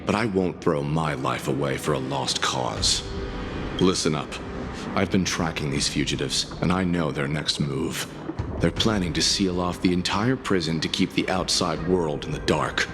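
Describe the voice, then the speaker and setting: A man's voice: rumbling low, normal speed, over music. An actor playing a blunt, fatalistic male bodyguard in a video game. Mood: grim, blunt. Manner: blunt, grimly resigned. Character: gruff, fatalistic male bodyguard, coolly pragmatic.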